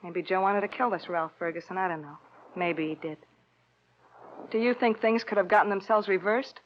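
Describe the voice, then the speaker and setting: An actress playing a grieving, quietly resigned woman in old radio crime drama style, with a subdued, halting delivery. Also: medium pitch, lightly acted. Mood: numb, grieving. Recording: dull, noisy old radio recording.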